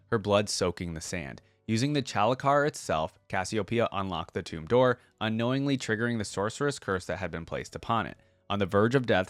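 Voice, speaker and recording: Low-voiced man, casual reaction host. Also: fairly bright tone, no echo. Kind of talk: casual reaction commentary mixed with reading aloud.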